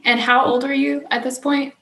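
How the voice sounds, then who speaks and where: A woman's voice: high-pitched, level tone, moderate pace, a bit bright. A woman interviewing a guest, asking long, encouraging follow-up questions. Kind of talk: podcast interview.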